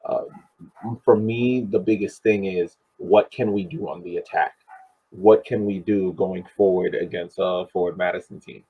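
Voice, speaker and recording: A man on a tinny, phone-like call giving casual sports commentary as a panelist, halting and deliberate as he thinks aloud. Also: sits low, steady tone, husky. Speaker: podcast panelist. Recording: tinny, muffled phone-like line.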